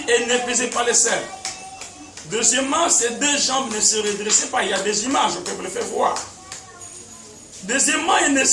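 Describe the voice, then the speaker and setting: A man preaching a teaching sermon as a pastor, with emphatic repetition and frequent chuckles, speaking French, which is not his first language. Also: extremely high pitch. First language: a language other than French. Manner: emphatic, repeats words, chuckles often. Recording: echoey, distant mic, background noise.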